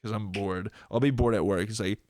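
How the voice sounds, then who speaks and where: A man's voice: lowish voice, fairly even intonation, brisk, a little mellow, no echo, clean studio sound. A young man with a stuffy nose, chatting casually as a podcast guest, rambling with lots of "like" and casual swearing. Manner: rambling, heavy use of "like", swearing. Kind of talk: casual chat.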